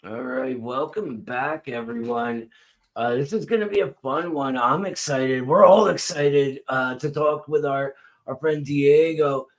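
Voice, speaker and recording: A man's voice: mid-range voice, unhurried. A man from the United States hosting a casual interview, friendly and hesitant with many fillers. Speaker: host.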